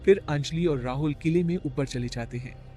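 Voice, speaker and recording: A man narrating a cartoon horror story in a steady storytelling manner. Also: fairly high pitch, moderate pace, soft background music.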